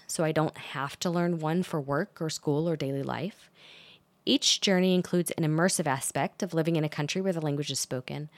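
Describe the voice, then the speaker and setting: Woman hosting her own podcast, kinda low voice, measured and thoughtful, super dry sound. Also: dry recording. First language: English. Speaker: podcast host. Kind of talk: solo podcast monologue.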